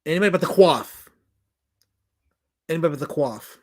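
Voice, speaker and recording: Man, New York accent, somewhat high voice, rambling, emphatic, casual livestream talk. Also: expressive, a little fast, a little mellow. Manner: rambling and emphatic, with many restarts and repetitions. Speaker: documentary director hosting a livestream Q&A. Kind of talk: casual livestream chat, reading viewer comments.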